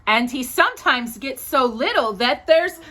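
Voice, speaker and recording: A woman hosting a children's storytime, reading aloud in an animated, sing-song manner. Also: sits high, measured pace.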